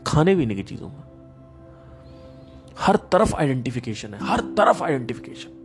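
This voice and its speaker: A man hosting a podcast, giving a casual spiritual talk in an emphatic, animated storytelling manner. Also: medium pitch, normal speed, faint music behind. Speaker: podcast host.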